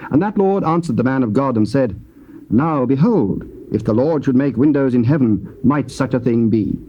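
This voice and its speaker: A man narrating scripture in a measured, solemn reading. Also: medium pitch, lively intonation, highs cut off, a little hiss or hum.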